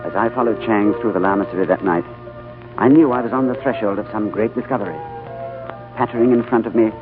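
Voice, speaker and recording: A man narrating and acting the lead in a 1930s radio drama, with an urbane, wry and measured manner. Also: on the low side. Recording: tinny old radio broadcast with music.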